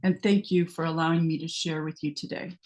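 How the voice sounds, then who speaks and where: A woman's voice: low voice, fairly even intonation, brisk, darkish tone. A woman addressing a congregation in an earnest, prepared talk.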